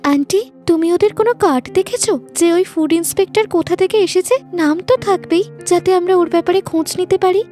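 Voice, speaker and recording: A woman's voice: extremely high pitch, brisk, warm tone, soft background music, voice pushed up. A woman voicing an eager, encouraging young woman in a moral-story cartoon. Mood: concerned. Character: earnest young woman, eager social media food fan.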